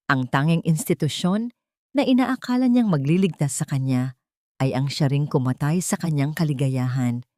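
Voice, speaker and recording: Low-voiced Filipino woman narrating dramatically. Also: moderate pace, a little mellow, no echo. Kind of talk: dramatic scripted story narration.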